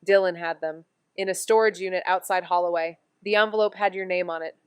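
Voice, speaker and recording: A woman's voice: fairly low pitch, steady tone, brisk, clear, resonant tone, dry recording, close to an everyday voice. A woman playing an earnest amateur investigator in an audio drama, with a casual, thinking-aloud way of talking. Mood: serious, urgent. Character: Amy, eager young woman amateur investigator who narrates. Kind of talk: audio drama dialogue and first-person narration.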